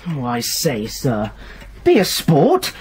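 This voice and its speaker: A jolly young upper-class Englishman, very husky and breathy, with a lively, bouncing delivery. He sounds persuasive and cheerfully posh. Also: mid-range voice, slow pace, full character voice. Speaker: young stepson. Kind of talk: radio drama acting.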